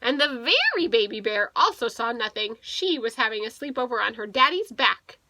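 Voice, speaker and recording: Woman, teacher reading to young children, highish pitch, very lively intonation, picture-book storytelling, explanatory asides, dry close sound. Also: normal speed, no room sound. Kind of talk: expressive children's picture book read-aloud.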